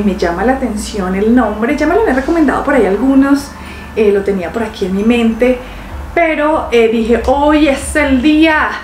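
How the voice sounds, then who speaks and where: A woman's voice: on the high side, roomy sound. A young woman from Colombia with a Bogotá accent, chatting playfully to her viewers from the sofa in her living room. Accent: Bogotá Colombian Spanish. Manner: chatty and playful, with affectionate diminutives. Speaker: reaction video host. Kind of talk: casual chat to viewers.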